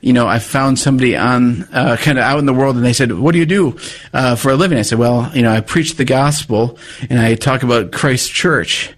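A man who runs a media company, a guest on a radio interview, telling animated anecdotes. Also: medium pitch, fairly quick, few pauses.

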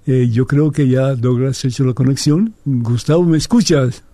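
Mellow-voiced priest, very dark soft tone. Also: male voice, mid-range voice, normal speed, dry recording. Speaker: priest hosting a call-in programme. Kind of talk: devotional prayer and unscripted interview. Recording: soft new-age music behind the voice. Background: new-age music.

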